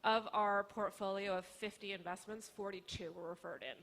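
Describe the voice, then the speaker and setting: A woman's voice: mid-range voice, fairly even intonation, normal speed, fairly bright tone. A woman speaking on a business panel, articulate and fluent with light fillers. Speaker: panelist, business school lecturer and angel network founder. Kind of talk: panel discussion.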